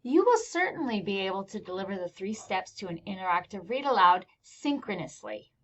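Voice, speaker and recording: A woman's voice: medium pitch, sing-song, a little fast, fairly bright tone. A woman with an American accent presents scripted instructional narration as a teacher trainer, explaining things plainly with informal touches. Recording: dull lo-fi voice-over recording.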